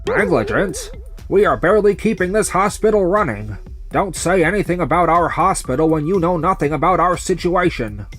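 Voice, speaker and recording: A man's voice: high voice, expressive, normal speed, long pauses, a bit bright, faint music behind. Man acting a formal, defensive hospital official. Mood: indignant. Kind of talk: manga dub voice acting.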